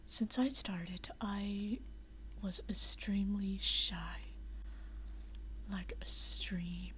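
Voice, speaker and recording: A young woman whispering quietly at night in a living room, chatting unscripted with many fillers and restarts. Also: mid-range voice, fairly even intonation, takes it slowly, a little hiss or hum, rough recording. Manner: rambling with frequent fillers and restarts. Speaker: VRChat vlogger. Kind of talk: unscripted casual chat.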